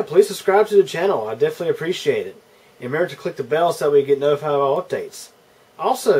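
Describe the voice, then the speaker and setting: Higher-voiced man, casual and halting. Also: a little mellow. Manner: informal, hesitant, repeats words. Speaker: YouTube channel host, caller to customer service. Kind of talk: casual phone call and commentary to viewers.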